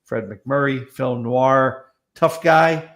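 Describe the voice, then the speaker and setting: A man's voice: mid-range voice, slow pace, a bit bright. A man speaking casually as a guest on a chat show. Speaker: guest on a talk show, comedy writer.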